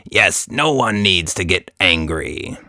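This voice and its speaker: Man voicing a taunting little red monster, slow drawl. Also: sits low, animated, sharp tone, no room sound, clean studio sound, full character voice. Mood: breezy, feigned innocence. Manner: taunting, feigned innocence. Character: small red cat-like monster, mocking gleeful bully.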